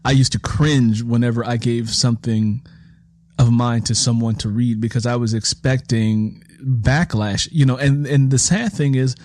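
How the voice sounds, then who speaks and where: A man's voice: medium pitch, steady tone, moderate pace, darkish tone, dry recording, studio quality. A man interviewing as a fellow writer, explaining his thoughts in a reflective, conversational way and circling back over phrases.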